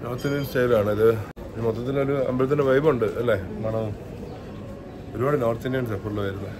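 A man's voice: medium pitch, fast. Chatty Malayali man on a noisy street. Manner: chatty, thinking aloud while walking. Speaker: travel vlogger. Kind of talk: casual travel vlog narration. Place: outdoors on a busy city street.

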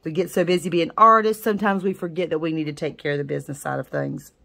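A woman talks through a salon business book in a relaxed, chatty way. Her voice sits on the lower side, and she keeps adding fillers and restarting her sentences as she goes. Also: quick pace, creaky. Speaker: nail technician reviewing a book. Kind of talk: casual book review.

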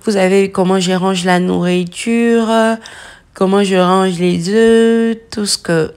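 A woman in her thirties, a home vlogger, chatting slowly through a kitchen tour and cooking steps, often pausing with "euh" and using English words for food. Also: mid-range voice, fairly even intonation, darkish tone, clear, resonant tone.

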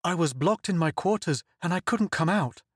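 A man's voice: on the high side, lively intonation, moderate pace, breathy edge, no room sound, studio quality, voice pushed up. A man voicing a frightened, devoted young woman who pleads through tears in an audiobook. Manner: pleading, tearful. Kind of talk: audiobook character voice.

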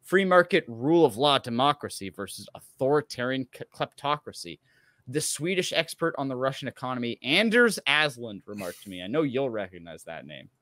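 A man's voice: medium pitch, big pitch swings, brisk, a bit bright. A man, around his thirties, co-hosting a talk show, reading an article aloud with casual, sardonic asides. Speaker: show co-host. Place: indoors at home on a video call.

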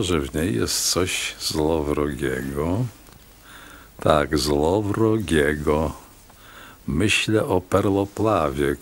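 An actor playing a haughty, menacing town doctor in a radio play, speaking in a condescending, ominous way. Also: male voice, rumbling low, full character voice.

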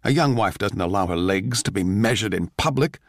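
A man's voice: fairly low pitch, expressive, moderate pace, no room sound, clean studio sound. A man voicing an arrogant, childish, foul-mouthed young composer in a dramatic audiobook reading. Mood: outraged, arrogant.

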